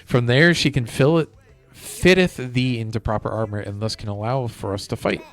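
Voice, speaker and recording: A man's voice: mid-range voice, steady tone, normal speed, natural voice. A man voicing a pompous, chivalrous video game knight in grand mock-archaic speech. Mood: grand, rallying. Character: pompous chivalrous male knight quest-giver. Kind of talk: video game dialogue read aloud. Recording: music playing behind the voice.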